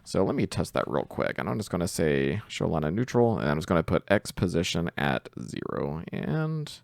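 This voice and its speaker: A man giving an informal screen-share software tutorial, thinking aloud hesitantly as he goes. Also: sits low, sing-song, normal speed, gravelly, no echo. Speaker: tutorial host, coding instructor.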